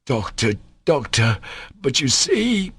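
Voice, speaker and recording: A man's voice: mid-range voice, unhurried, sharp tone, no room sound, voice pushed up. A man voicing a humble, despairing captain and anxious father in a storybook, faltering and deferential.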